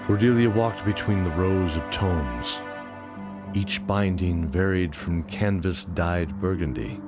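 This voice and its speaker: A man reading scripted fantasy audio drama narration in a measured storytelling manner. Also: sits low. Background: music.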